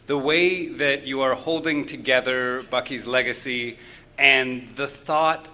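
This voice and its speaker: A man hosting a live event on a campus, giving earnest thanks and introducing a guest, with halting fillers. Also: mid-range voice, fairly even intonation, bright, forceful tone. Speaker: host.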